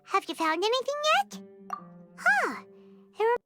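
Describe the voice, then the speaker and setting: A woman voicing a small, chirpy, childlike fairy companion in a video game, talkative and excitable. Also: very high-pitched, measured pace, full character voice. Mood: curious. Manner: excitable, speaks of herself in third person. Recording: background music under the voice.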